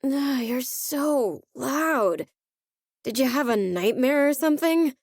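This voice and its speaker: A woman's voice: high-pitched, expressive, slow, a little mellow, no room sound, clean studio sound, heavily acted. A woman voicing a grumpy, blunt, irritable young girl in a video game. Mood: annoyed.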